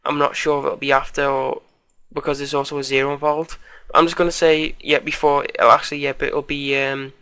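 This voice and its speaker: A man with a fairly high, bright voice presents a casual screencast tutorial. His delivery stays quite monotone, informal and a bit hesitant, with a slight croak left over from a cold. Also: fairly quick, dry recording.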